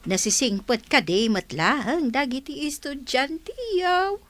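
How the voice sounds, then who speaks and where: A woman with a Filipino accent acting a caring old village grandmother who gently gives advice in a radio drama. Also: high voice, big pitch swings, slow pace, exaggerated voice. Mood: caring, advising.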